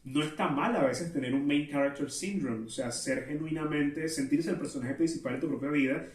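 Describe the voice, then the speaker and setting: A higher-pitched man with a Venezuelan Spanish accent talks as a solo podcast host, casual and chatty, wandering off on tangents with some self-awareness. He sounds set back from the microphone in a noticeably echoey room. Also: a little fast. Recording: roomy echo, distant from mic.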